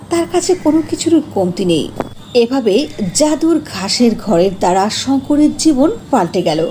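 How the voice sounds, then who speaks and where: A woman's voice: fairly high pitch, dark tone, slight background noise. A woman narrating a scripted fairy tale as a storyteller.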